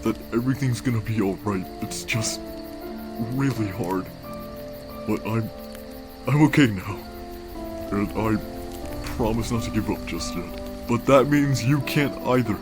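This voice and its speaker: Guy doing a tired skeleton big bro, casual n halting, tender under the jokes, music behind. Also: on the low side, natural voice. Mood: anguished, halting. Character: weary, wisecracking skeleton big brother, secretly anguished. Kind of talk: comic dub character acting. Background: background music.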